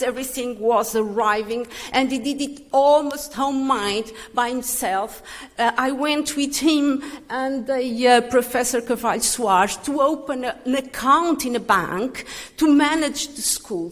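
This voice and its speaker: Older woman in a reverberant hall. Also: a little high. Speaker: speaker giving a tribute. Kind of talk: commemorative speech. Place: large reverberant hall, live speech.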